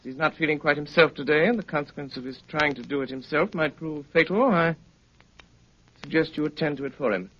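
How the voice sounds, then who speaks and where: An actor playing a cool, sardonic, commanding gentleman detective in a 1930s radio drama, speaking with clipped, dryly polite authority. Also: on the high side, a little fast, natural voice. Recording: muffled, noisy 1930s radio recording.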